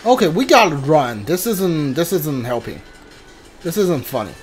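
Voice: mid-range voice, big pitch swings, normal speed. A video game streamer giving casual playthrough commentary, thinking aloud and muttering reactions while playing. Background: game music.

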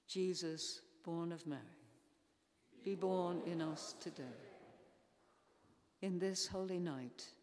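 Slow, solemn older woman praying in an echoing church. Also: on the low side, a crowd in the background. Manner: solemn, measured phrasing with pauses. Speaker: church intercessor leading prayers. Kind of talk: formal read liturgical prayer. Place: large church interior. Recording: echoey church hall, long reverb.

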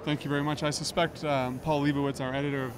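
A man's voice: a little high, flat delivery, fast talker, crowd noise. A man in his thirties hosting a casual trade show interview into a handheld microphone. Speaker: interviewer, web video host. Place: large indoor convention hall booth. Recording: noisy busy trade show floor.

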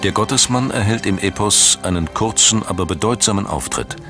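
Male documentary narrator, very deep, measured and dramatic, music bed underneath. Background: background music.

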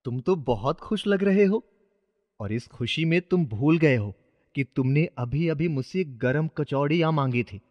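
A man's voice: fairly high pitch, animated, measured pace. A man plays a friendly enchanted umbrella in a cartoon bedtime tale. It speaks like an obliging, reassuring servant, with long reverb and a slightly dull sound. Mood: friendly reminding. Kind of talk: dramatized children's moral story.

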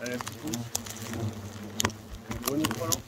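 A man's voice: on the high side, normal speed, very noisy. A man, giving informal, repetitive commentary to viewers as he works outdoors with a handheld phone. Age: 30s. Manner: informal, addresses viewers directly, repeats himself. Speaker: hornet nest exterminator and video host. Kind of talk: casual vlog commentary while working. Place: outdoors, on rooftops and narrow village streets. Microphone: handheld phone at arm's length.

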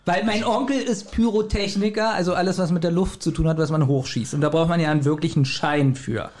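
Man, moderately high pitch, conversational, podcast co-host, questioning. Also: fairly even intonation, a little fast, a little mellow. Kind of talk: casual chat, questioning.

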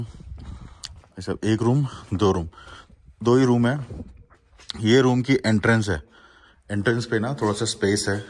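A man in his thirties narrating a casual travel vlog while driving mountain roads and touring a hotel, chatty and excitable, mixing English into his Hindi. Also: fairly low pitch, a little hiss or hum. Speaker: travel vlogger. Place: in a car on mountain roads and at a hill resort.

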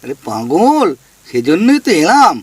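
A man's voice: high-pitched, huge pitch swings, a little slow, slightly rough, no room sound, heavily acted. A man playing a teasing, gossipy ghost in a comic audio drama, talking with mocking familiarity and scolding questions. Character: cheeky male ghost, teasing gossip who talks with folksy familiarity.

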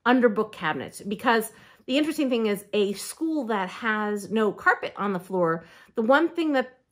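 A woman's voice: a little high, animated, normal speed. A middle-aged woman hosting a casual video-call interview and explaining things steadily and informatively, with the occasional "um". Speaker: show host. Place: indoor room against a plain backdrop.

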